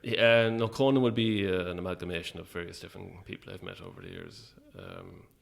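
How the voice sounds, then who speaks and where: A man's voice: low voice, animated, brisk, gravelly. A man with an Irish accent talking casually as an interview guest, hesitant and dryly self-deprecating.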